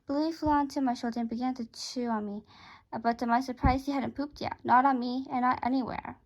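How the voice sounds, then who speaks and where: A woman with a high-pitched voice reads a first-person story aloud. She narrates it as written, occasionally tripping over a phrase and going back over it. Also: fairly even intonation, fairly quick, rough recording. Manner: stumbles and rereads phrases. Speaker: author reading own story aloud.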